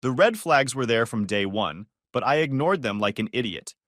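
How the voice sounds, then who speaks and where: A man tells his own story with wry sarcasm. His pitch rises and falls a lot as he speaks. The recording is close and dry, with no room sound. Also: animated, normal speed, fairly bright tone, no room sound. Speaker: narrator. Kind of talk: first-person story narration.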